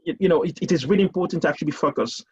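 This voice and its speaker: A man from Cameroon talks on an online panel in a fairly high, breathy voice, stumbling over repeated words and fillers as he gives advice. His audio comes through a muffled, lo-fi video call. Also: rapid-fire. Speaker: university lecturer guest. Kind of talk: casual panel discussion.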